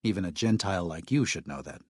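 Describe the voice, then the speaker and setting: A man voicing an earnest, weary missionary medic in a video game, speaking in a measured, solemn, plain-spoken way. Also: low-pitched, animated, no room sound, studio quality. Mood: grave, solemn. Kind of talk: video game character dialogue.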